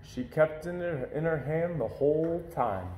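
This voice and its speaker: Man reading as gentle patient dad, echoey room. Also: a little high, lively intonation, a little slow, slight background noise. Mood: gentle, pleased. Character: gentle, patient father of a young family.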